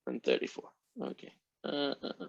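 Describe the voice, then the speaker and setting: A man's voice: moderate pace, no echo. Older guy, 60s, teaching math on a video call, chatty, keeps saying right?, dry dull lo-fi audio. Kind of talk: online class lecture. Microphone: computer mic on a video call.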